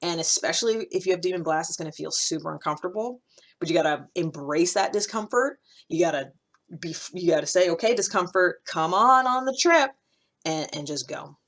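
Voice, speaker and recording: Low-voiced American woman, casual and emphatic. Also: fairly quick. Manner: informal, emphatic, repeats key points for stress. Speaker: podcast host giving self-improvement advice. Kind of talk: casual, unscripted talk to camera.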